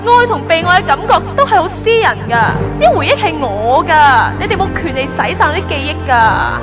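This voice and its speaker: A woman's voice: very high voice, sing-song, brisk. A woman playing an earnest, sentimental young trainee angel in a Hong Kong Cantonese radio drama. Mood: upset, defiant. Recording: background music under the voice.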